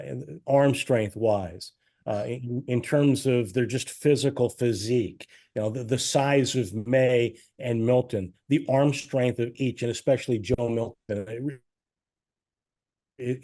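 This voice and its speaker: A man speaking as an interview guest, a sports broadcaster giving long, halting, carefully qualified analysis. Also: medium pitch, warm tone. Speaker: guest, team radio play-by-play announcer.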